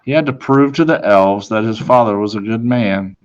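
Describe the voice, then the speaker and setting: A man reading a children's storybook aloud in a dramatic manner, with deliberate pauses. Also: fairly low pitch, dull audio. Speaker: storybook reader.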